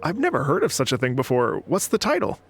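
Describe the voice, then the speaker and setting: A woman's voice: rumbling low, wildly swooping pitch, fast, faint music behind, exaggerated voice. A woman playing a polite, eager and slightly flustered bookshop clerk in an anime-style game. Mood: puzzled.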